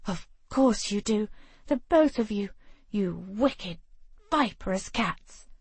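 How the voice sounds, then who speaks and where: A woman's voice: medium pitch, animated, takes it slowly, a little mellow, slightly rough, no echo, heavily acted. A woman voicing a passionate, defiant young woman who speaks urgently and indignantly in an audiobook.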